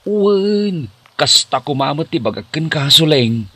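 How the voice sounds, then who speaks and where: A man's voice: on the high side, big pitch swings, unhurried, fairly bright tone, dry recording, exaggerated voice. A man playing a supportive young boyfriend in an Ilocano comedy drama, speaking with an Ilocano accent. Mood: encouraging. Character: supportive young boyfriend helping at a food stall.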